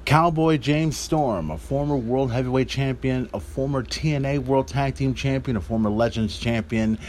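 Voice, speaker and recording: A man's voice: medium pitch, normal speed, darkish tone. Casual man podcasting over background noise. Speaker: wrestling podcast host. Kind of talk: casual, rambling solo podcast commentary.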